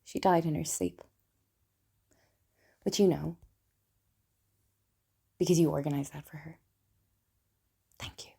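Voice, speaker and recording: A woman playing a lonely, wry, broken woman confiding in Death in an intimate, hesitant theatrical monologue, with a husky, breathy voice. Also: low-pitched, steady tone, takes it slowly, long pauses, dark tone, full character voice. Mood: tender, awkward. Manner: confessional, self-deprecating, hesitant. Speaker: writer and performer of a one-woman play.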